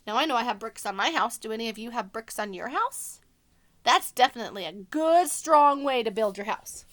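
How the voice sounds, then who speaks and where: A woman's voice: on the high side, lively intonation, fairly quick, fairly bright tone. A woman teacher doing a read-aloud for children, explaining simply and putting questions to her listeners.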